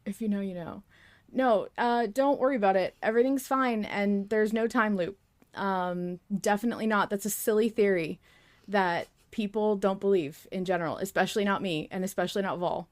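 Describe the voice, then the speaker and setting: A woman's voice: mid-range voice, moderate pace. A woman hosting a podcast in casual chat, with a playfully sarcastic manner.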